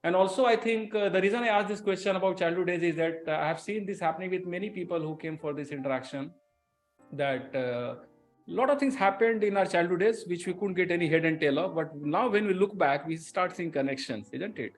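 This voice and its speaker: A middle-aged man hosts an interview, rattling along at a fast clip. His voice sits slightly high, and he praises his guest freely. Faint music plays quietly under him. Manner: effusive and praising, laughs easily. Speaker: interview host. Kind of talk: unscripted conversational interview.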